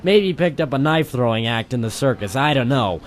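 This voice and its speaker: A man's voice: medium pitch, animated, brisk, slight background noise, lightly acted. A man playing a weary, wry private detective, narrating and talking tersely in a noir radio drama. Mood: wry, matter-of-fact. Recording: music playing behind the voice.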